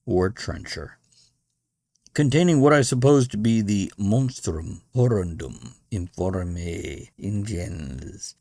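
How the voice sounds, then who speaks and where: Male storybook narrator; lowish pitch, highly animated, theatrical delivery, close dry sound. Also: gravelly, no room sound. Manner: expressive, theatrical. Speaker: podcast host and story reader.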